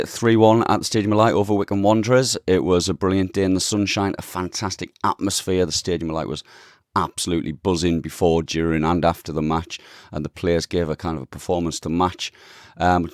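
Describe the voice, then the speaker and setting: A man hosting a football podcast, hoarse this morning, recapping the match in a chatty, enthusiastic way. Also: sits low, fairly even intonation, brisk, hardly any pauses, no echo, studio quality. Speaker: podcast host. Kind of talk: casual football podcast chat.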